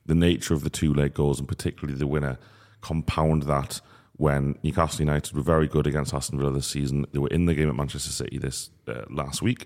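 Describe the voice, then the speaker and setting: A man's voice: very deep voice, a little mellow. A man hosting a football podcast, talking bluntly and swearing in frustration. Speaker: podcast host.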